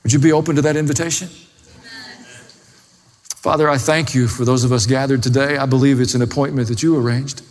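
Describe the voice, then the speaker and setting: A man's voice: medium pitch, fairly even intonation, brisk, long pauses, darkish tone. A man pastor with a plain-spoken, folksy way, preaching and praying before a congregation. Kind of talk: sermon and closing prayer. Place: large hall, preaching to a congregation. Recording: echoey hall with long reverb.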